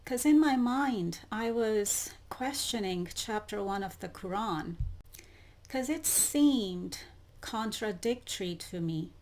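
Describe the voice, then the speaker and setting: Woman host, solo spiritual talk, unhurried and deliberate, repeats her questions, mid-range voice. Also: mid-range voice, animated, darkish tone. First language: not Arabic. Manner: slow, deliberate, repetitive questioning. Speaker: YouTube channel host. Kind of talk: solo spiritual monologue to camera.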